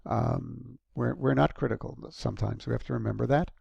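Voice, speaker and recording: A man's voice: medium pitch, steady tone, a little fast, gravelly, a little mellow, husky, dry recording, dull audio. A man giving a recorded university lecture in a conversational way, with frequent ums and restarts.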